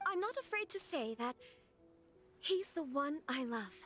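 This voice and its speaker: A woman's voice: sits high, sing-song, unhurried, fairly bright tone, soft background music, full character voice. An actress playing a composed, authoritative young noblewoman in an anime video game, speaking formally. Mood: earnest. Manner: formal, poised.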